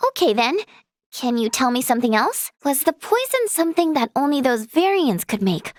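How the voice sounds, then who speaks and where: A woman's voice: sits high, big pitch swings, moderate pace, dry recording, studio quality. A woman playing an earnest, caring young female healer and researcher in a video game, with a hesitant, exclamatory way of talking. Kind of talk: English video game voice acting.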